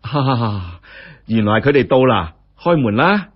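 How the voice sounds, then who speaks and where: Man putting on a husky, stout rich lady. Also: medium pitch, big pitch swings, unhurried. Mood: genial, magnanimous. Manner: breezy, magnanimous. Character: genial, stout wealthy lady in her forties. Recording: dull, muffled lo-fi audio.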